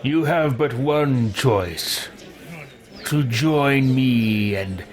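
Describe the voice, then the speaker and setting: Man putting on a drawling, very slow villainous mage. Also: medium pitch, animated. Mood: smug threat. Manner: deliberate, drawling, megalomaniacal. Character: haughty villainous male mage lord, menacing, gleeful. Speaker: roleplaying game player. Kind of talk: improvised in-character roleplay.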